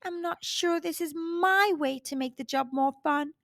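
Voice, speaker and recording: A woman voicing an eager young male dung beetle in a children's storybook, expressive and exclamatory. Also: extremely high pitch, a little slow, no room sound, clean studio sound, pitched above the performer's own voice, full character voice. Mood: doubtful.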